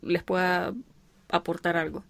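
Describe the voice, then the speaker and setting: A woman's voice: lowish voice, flat delivery, unhurried, vocal fry, no echo. A woman host, interviewing a guest in a supportive, conversational way. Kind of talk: casual interview chat.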